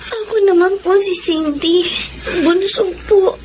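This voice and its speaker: Sweet little filipino girl, super high voice, polite, radio drama, noisy sound. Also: a little slow. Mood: polite, subdued. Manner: respectful, childlike. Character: sweet, polite young girl, youngest daughter.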